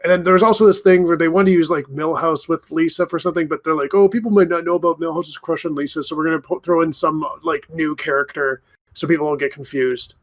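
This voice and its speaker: A man's voice: a little high, fairly even intonation, quick pace, hardly any pauses. A man co-hosting a casual gaming podcast, blunt and dismissive, swearing freely. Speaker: podcast co-host.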